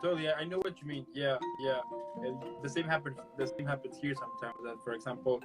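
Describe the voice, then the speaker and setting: Chatty Spanish-speaking host, music behind. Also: male voice, medium pitch, fairly even intonation, fast talker. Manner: rambling, many restarts and "you know". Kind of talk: casual interview chat.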